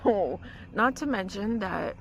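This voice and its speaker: Chatty woman with a gravelly voice. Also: medium pitch, lively intonation, slow pace, low-quality recording. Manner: chatty, full of "like" and "you know" fillers. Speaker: vlogger. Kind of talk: casual storytelling. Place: forest campsite by a car. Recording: outdoor noise with vehicle hum.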